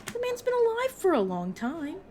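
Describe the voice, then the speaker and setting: A woman playing a brisk, protective vampire mentor in an audio roleplay, talking casually and teasingly to a new fledgling. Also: extremely high pitch, wildly swooping pitch, darkish tone, a little hiss or hum, exaggerated voice. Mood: reassuring, wry. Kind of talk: narrative audio roleplay, addressed to the listener.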